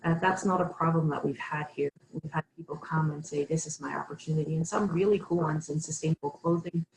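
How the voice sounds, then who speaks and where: A woman's voice: deep voice, steady tone, fairly quick, darkish tone. A woman giving a conversational presentation to a town task force over a video call, with frequent fillers. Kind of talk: online meeting presentation. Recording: echoey room, faint noise.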